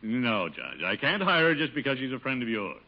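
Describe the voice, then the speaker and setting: An actor playing a pompous, exasperated middle-aged water commissioner in a radio comedy, with an American accent, a husky voice and blustering, sighing exclamations. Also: male voice, medium pitch, huge pitch swings, a little fast. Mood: wary. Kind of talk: 1950s radio situation comedy acting. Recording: muffled old 1950 radio recording.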